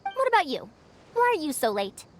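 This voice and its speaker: A woman's voice: sits high, huge pitch swings, normal speed, slight background noise. A woman voicing a proud, young-sounding scholar who talks like an elder, with formal, old-fashioned diction, in an anime-style video game. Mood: proud, inquisitive.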